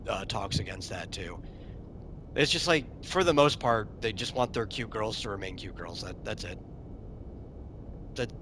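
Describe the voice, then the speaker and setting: A man's voice: on the low side, fairly bright tone, slight background noise. A man streaming a game, chatting casually and answering his chat, often repeating a word as he starts a sentence. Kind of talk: casual commentary while playing a game.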